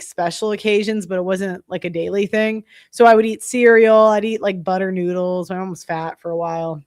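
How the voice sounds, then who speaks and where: A young woman with a nasal voice and heavy breathing, chatting bluntly and casually to viewers as a podcast host. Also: a little high. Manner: rambling, blunt, many fillers. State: breathing heavily.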